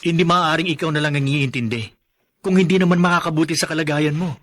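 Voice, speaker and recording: A man plays a worried, protective Filipino husband in a radio drama. His voice sits a little high, earnest and concerned for his family. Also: fast, a bit bright, lightly acted. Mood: worried, firm. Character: worried, protective young husband caught between wife and aunt.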